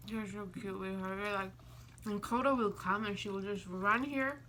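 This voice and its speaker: A woman from Finland co-hosting a vlog in casual chat, telling small stories in an animated way. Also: medium pitch, moderate pace, a little hiss or hum. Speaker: vlog co-host.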